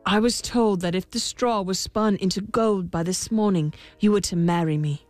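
A woman's voice: mid-range voice, moderate pace, a little mellow, no room sound, soft background music, lightly acted. A woman voicing a kind young prince in a storybook, speaking earnestly and reassuringly. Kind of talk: storybook character voice.

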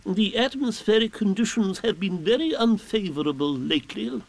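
A man voicing a pompous, long-winded owl in a children's story, with stately, drawn-out delivery. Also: high-pitched, lively intonation, creaky, pitched above the performer's own voice, heavily acted, poor audio. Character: pompous, long-winded owl who loves big words.

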